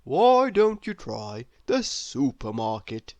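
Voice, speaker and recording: A woman's voice: a bass voice, very animated, takes it slowly, a little mellow, no echo, voice pushed down, exaggerated voice. A woman voicing a hapless, puzzled male cartoon character from a children's storybook, plain-spoken and bemused.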